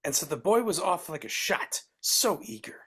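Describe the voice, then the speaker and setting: A woman playing the storyteller of a Christmas tale in a live dramatic reading, with a husky voice. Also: fairly low pitch, big pitch swings, moderate pace, a bit bright, full character voice. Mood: storytelling. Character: storyteller narrator of a Christmas tale, wry and earnest.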